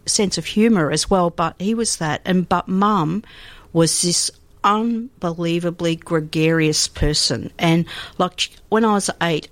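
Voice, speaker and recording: An older Australian woman from Melbourne, a radio guest telling reflective stories about her life with pauses and restarts. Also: on the low side, no room sound. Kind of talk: conversational storytelling.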